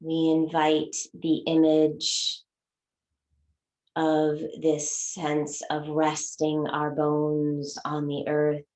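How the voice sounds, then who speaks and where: A woman teaching a guided meditation, giving soothing, unhurried instructions with long pauses between phrases. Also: low voice, completely flat delivery, darkish tone, reverberant.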